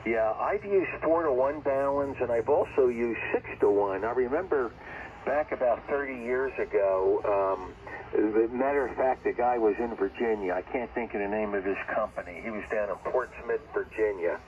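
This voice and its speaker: A man's voice: medium pitch, normal speed. A man chatting casually as an amateur radio operator over shortwave, rambling a little and circling back to repeat his points. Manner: rambling, conversational, repeats himself. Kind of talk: casual ham radio chat. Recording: muffled, noisy ham radio transmission.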